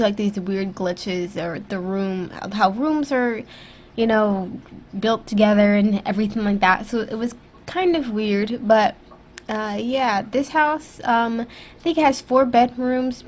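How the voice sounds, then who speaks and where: A young woman whose voice sounds stuffy and throaty after being sick, casually commenting over her video in a rambling, self-critical way with lots of fillers. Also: a little high. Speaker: YouTube gaming creator. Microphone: USB desktop microphone.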